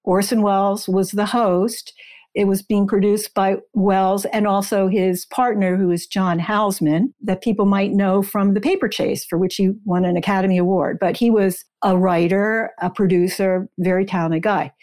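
A woman's voice: medium pitch, steady tone, moderate pace, darkish tone. Informative woman explaining as guest. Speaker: interview guest, author and researcher. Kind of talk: explanatory interview conversation.

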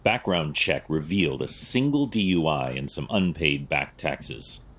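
An actor playing a stern, analytical vigilante detective in an audio drama, terse and clinical, with a gravelly voice. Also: male voice, rumbling low, expressive, a little slow, fairly bright tone, full character voice. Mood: clinical, measured.

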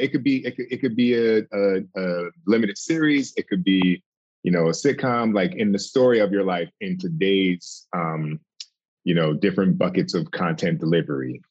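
A man with a very low, husky voice hosts a casual chat, often stumbling and repeating words. The audio is dry and a little dull. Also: moderate pace, darkish tone, no echo. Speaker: podcast host.

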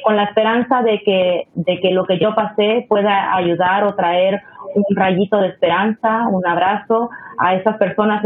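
A woman with a Salvadoran accent, a ministry director, speaking in a measured, earnest way on a video call. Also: mid-range voice. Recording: tinny phone-like line.

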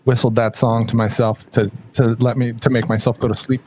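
A man co-hosting a casual talk show, easygoing and halting in his delivery. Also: mid-range voice, level tone, darkish tone, a little hiss or hum. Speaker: co-host. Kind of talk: casual chat.

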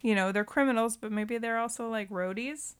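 A woman's voice: fairly high pitch, brisk, smooth, dry recording. A woman co-hosting a movie podcast, chatting casually and informally as she recaps a film. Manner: informal, conversational.

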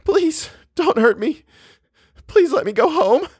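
Shrieking, terrified ghostly child, very high. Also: male voice, very animated, takes it slowly, no room sound, clean studio sound, heavily acted. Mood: sobbing plea.

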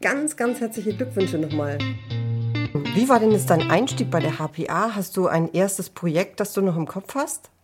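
A woman's voice: mid-range voice, animated, moderate pace, over music. A woman hosting an interview, speaking in an enthusiastic, effusive way. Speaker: interview host.

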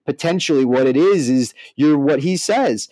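A man's voice: fairly high pitch, animated, moderate pace, warm tone, no echo. Casual male podcast co-host, dry sound. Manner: informal, emphatic, peppered with "like" and "I mean". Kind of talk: casual podcast discussion, some reading aloud from an article.

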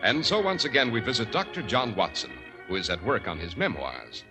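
A man's voice: lowish voice, very animated, brisk, over loud music. A man working as a radio announcer, with a polished, formal 1940s broadcast delivery.

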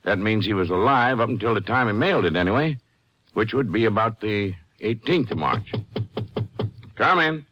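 Folksy low-voiced Texas sheriff. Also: male voice, animated, normal speed, a bit bright, no echo. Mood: folksy, thoughtful. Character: folksy, plain-spoken Texas county sheriff. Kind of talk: 1950s western radio acting. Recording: dull old radio broadcast recording.

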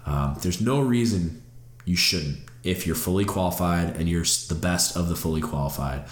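A man's voice: low-pitched, normal speed, echoey room. A man hosting a podcast, sounding nasal and stuffed up from a cold, earnest and encouraging as he talks. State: stuffed up and congested. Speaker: podcast host. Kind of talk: solo mentoring podcast talk.

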